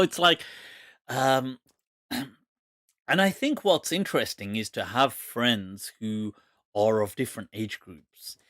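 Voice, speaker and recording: A man's voice: mid-range voice, a bit bright, dry recording, clean studio sound. A man in his forties hosting a live question and answer show, chatting casually and laughing often. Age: 40s. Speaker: host answering viewer questions.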